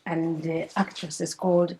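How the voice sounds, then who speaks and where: A woman from DR Congo, a hair braider, talking in an interview, animated and often laughing. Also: low-pitched, moderate pace, creaky.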